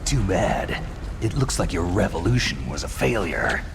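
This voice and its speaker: A man playing a grim, weary soldier hero in a video game, terse and brooding, with a gravelly voice. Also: sits low, sing-song, normal speed, noisy background, exaggerated voice. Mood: grim, challenging. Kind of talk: dramatic video game acting.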